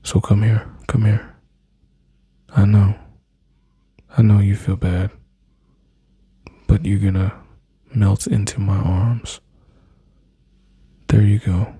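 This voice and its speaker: A man speaking softly and slowly in an intimate, soothing boyfriend-style ASMR roleplay, his low breathy voice often dropping into whispers close to the mic. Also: level tone, no echo. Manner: soft, slow, soothing, intimate address to listener.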